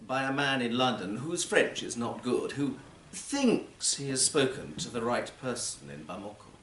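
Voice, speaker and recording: A man narrates literary fiction with measured, dramatic phrasing. His mid-pitched voice moves expressively up and down. It is a live recording in a very roomy space. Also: sing-song, a bit bright, a little hiss or hum. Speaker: actor and audiobook reader. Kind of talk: literary story reading, scripted narration. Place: performance space in a library, live event.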